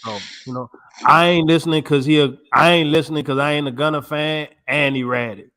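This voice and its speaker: A man's voice: on the high side, steady tone, a little fast, bright, forceful tone. A man co-hosting a podcast, chatting casually in a laid-back, slangy way. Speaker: podcast co-host. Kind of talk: casual chat.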